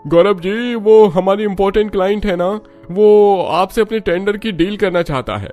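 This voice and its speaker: A man's voice: sits high, moderate pace, mellow, faint music behind, close to an everyday voice. A man playing an insistent business colleague in a cartoon story. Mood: businesslike, pressing.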